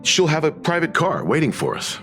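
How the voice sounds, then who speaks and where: An actor voicing a courteous, steady gentleman who speaks in a polite, measured and formal way. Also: on the high side. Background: game music.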